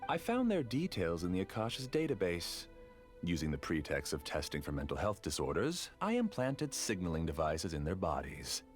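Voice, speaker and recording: A man voicing an obsessed, grieving male scholar who calmly justifies himself in a game. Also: low-pitched, normal speed. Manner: calm, self-justifying. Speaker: game character. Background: game music.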